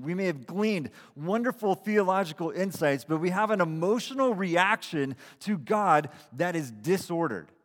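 A man of about forty giving a casual, self-deprecating sermon as pastor, with frequent restarts. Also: on the high side. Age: 40s. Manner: conversational, self-deprecating preaching with repeated starts. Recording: long hall reverb.

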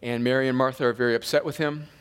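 A man's voice: medium pitch, steady tone, very fast, clean tone. Male pastor preaching in a reverberant hall. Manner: conversational preaching that rises to emphatic peaks. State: has a slight cold, sniffling. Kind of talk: sermon.

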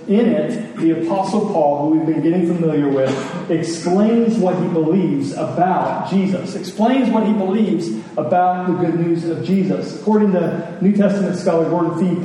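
A man preaching a sermon and teaching scripture, earnest and emphatic, with rhetorical questions, pauses and repetition. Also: high voice, mellow. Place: large reverberant room. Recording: echoey hall, distant mic, faint noise.

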